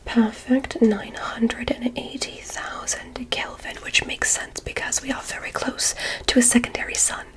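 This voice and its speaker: A woman voicing a gentle, curious alien doctor in an ASMR medical roleplay, whispering soothing, softly formal instructions. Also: fairly high pitch, steady tone, measured pace, natural voice. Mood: quietly fascinated. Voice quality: breathy whisper.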